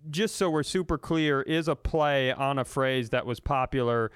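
A man with a smooth, resonant voice recorded very dry tells casual comic stories as a podcast host. He is animated and profane, leaning into exaggerated disbelief as he banters. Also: medium pitch, moderate pace, dry recording. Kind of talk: casual comedic storytelling banter.

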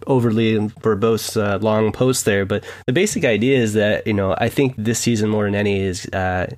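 Podcast guest, man, low voice, measured and rambling. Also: a little mellow. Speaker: guest writer. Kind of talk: explaining his article in a casual podcast chat.

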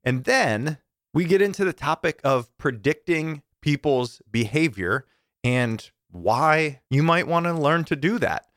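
Upbeat male podcast host, smoky low voice. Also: animated, unhurried, a bit bright, no room sound, clean studio sound. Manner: upbeat, enthusiastic, chatty. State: voice lowered from campfire smoke. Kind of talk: casual podcast intro.

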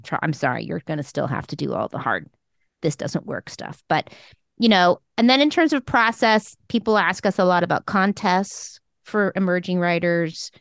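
A woman's voice: on the low side, animated, moderate pace, creaky, no echo. Frank, emphatic woman, dry close sound. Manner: frank and emphatic, swears casually. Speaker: podcast co-host and screenwriting mentor. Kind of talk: casual conversational advice.

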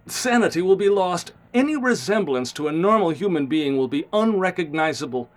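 A haunted scientist in a radio drama, his voice pitched somewhat high. He speaks in formal, measured sentences, ominous and heavy with guilt. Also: animated. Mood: ominous warning. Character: grave, guilt-ridden male scientist confessing catastrophe.

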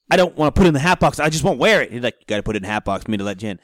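A man's voice: mid-range voice, very animated, racing, fairly bright tone, no echo, clean studio sound. A young man co-hosting a casual sports podcast, joking and laughing through slangy stories. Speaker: podcast co-host.

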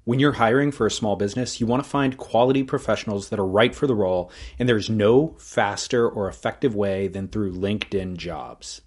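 A man's voice: fairly low pitch, brisk, hardly any pauses. A man delivering a scripted sponsor message as a podcast announcer. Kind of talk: scripted ad read.

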